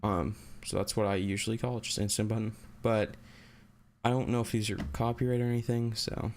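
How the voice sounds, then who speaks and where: Low-voiced man giving a casual tutorial. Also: little pitch movement, darkish tone, a little hiss or hum. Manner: halting, thinking aloud. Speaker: host of a software tutorial. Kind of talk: casual unscripted screen-recorded tutorial.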